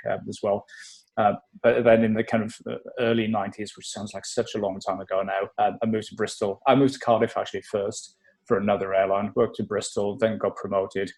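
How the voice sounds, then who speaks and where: A man's voice: fairly low pitch, darkish tone. A middle-aged man from South Wales with a South Welsh accent, chatting as a podcast guest, self-deprecating and full of fillers. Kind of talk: casual interview chat.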